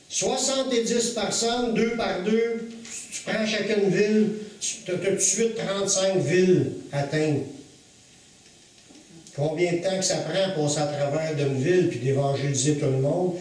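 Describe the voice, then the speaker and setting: A Québécois man preaching to his congregation, his voice sitting notably high for a man. He leans on key phrases, slowing down to stress them. He is recorded from a distance in a heavily echoing church hall. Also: a little mellow. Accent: Québécois French. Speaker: pastor. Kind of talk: sermon.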